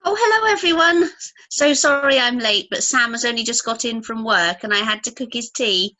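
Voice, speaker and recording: Woman acting a bright, gushing, gossipy young woman. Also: on the high side, moderate pace. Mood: breezy, chatty. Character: chatty, gushing pregnant young woman, nosy and frank. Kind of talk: amateur radio play acting.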